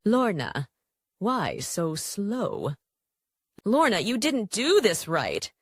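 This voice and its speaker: A woman voicing a harsh employer scolding a maid with sharp reprimands in an audio drama. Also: mid-range voice, wildly swooping pitch, extremely slow, husky, no echo, clean studio sound, full character voice. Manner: shouted English reprimands.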